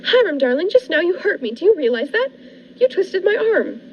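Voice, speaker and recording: A high-voiced, fluttery young bride played by a woman in a vintage radio thriller. She is genteel and naive, with stammering hesitations and sweeping, dramatic swings of pitch. The sound is muffled, with a noisy background. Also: very animated, a little fast. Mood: anxious pleading. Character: naive, fluttery newlywed bride turning anxious and pleading. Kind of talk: old-fashioned radio thriller acting.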